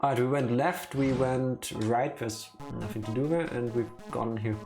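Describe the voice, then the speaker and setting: A man's voice: mid-range voice, steady tone, normal speed. A man giving casual commentary while playing a game on a live stream. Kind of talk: casual let's play commentary. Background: game music.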